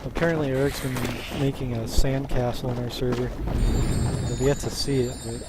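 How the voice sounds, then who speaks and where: A man talks his way through a video game in a relaxed, low-key way, his voice at an ordinary middle pitch. He sounds a bit tired and almost monotone. Loud game music plays behind him. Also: normal speed. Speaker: let's play host. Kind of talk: casual gameplay commentary.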